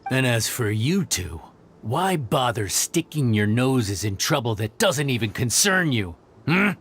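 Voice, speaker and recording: A man's voice: medium pitch, very animated, a little slow, a bit bright, breathy edge, exaggerated voice. An actor playing a cocky, threatening male mercenary in a video game, taunting and smug in manner. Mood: smug, menacing. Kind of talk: scripted video game dialogue.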